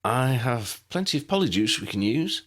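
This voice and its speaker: A man's voice: medium pitch, very animated, moderate pace, voice pushed up. A man voicing a cocky, stubborn young male reporter in an audiobook reading, with a bold, insistent delivery.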